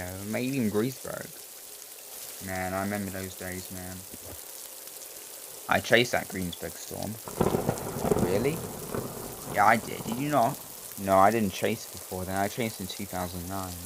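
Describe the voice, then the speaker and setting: A man chatting casually as a storm chaser, in loose, rambling talk full of restarts, with rain noise behind him. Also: fairly low pitch. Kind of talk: casual banter among friends.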